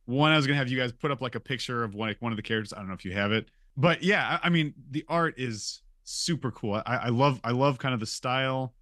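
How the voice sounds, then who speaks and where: A man's voice: medium pitch, fast, few pauses, a bit bright, no echo, studio quality. A man co-hosting a podcast with informal, jokey banter, often repeating words as he goes. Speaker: podcast co-host. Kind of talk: casual banter.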